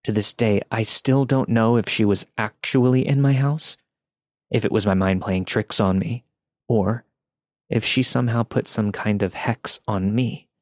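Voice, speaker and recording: A man's voice: on the low side, fairly even intonation, a little slow, a little mellow, no room sound, clean studio sound. A man reading a scripted first-person spooky story as a podcast narrator. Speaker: podcast host reading listener stories.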